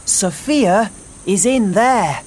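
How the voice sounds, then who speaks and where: An earnest young storybook boy voiced by a woman who explains things carefully. The delivery is slow and deliberate, with faint insect sounds behind it. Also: mid-range voice, expressive, darkish tone, a little hiss or hum, voice pushed up. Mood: knowing, serious. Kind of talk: storybook character voice.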